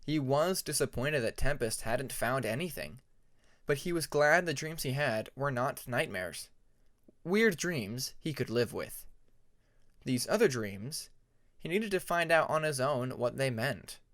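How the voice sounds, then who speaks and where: A man narrating a story from a script as an audiobook, reading deliberately phrase by phrase. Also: medium pitch, expressive, a bit bright.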